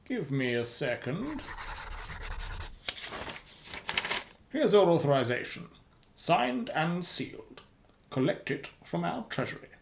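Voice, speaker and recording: Menacing radio-drama boss, sardonic and commanding, fairly high voice with a wide swing, roomy sound. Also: male voice, very animated, a little slow, creaky, a little hiss or hum, heavily acted. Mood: brisk, approving. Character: menacing sarcastic male boss of a shady firm. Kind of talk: radio play acting.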